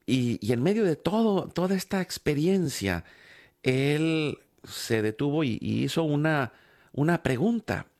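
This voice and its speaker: A man's voice: on the high side, expressive, measured pace, darkish tone. A man hosting a faith program, telling a personal story in a reflective, hesitant way with many fillers and restarts.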